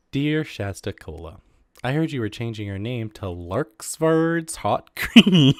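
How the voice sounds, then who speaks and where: A man's voice: mid-range voice, no room sound. A man casually reading comic excerpts aloud and commenting on them, with a giggly manner that often breaks into laughter.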